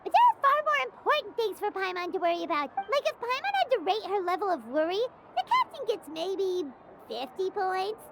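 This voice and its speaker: Childlike female fairy sidekick, very high squeak, animated swings, excitable, video game. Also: brisk, gravelly, heavy background noise, exaggerated voice. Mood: earnest, dramatic. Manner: bubbly, refers to herself by name. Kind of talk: English video game character acting.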